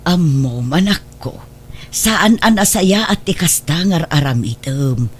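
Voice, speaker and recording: A woman's voice: sits low, animated, measured pace, breathy edge, exaggerated voice. A woman acting in a radio drama as a worried, middle-aged mother who moralizes to her married daughter. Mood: scolding, pleading.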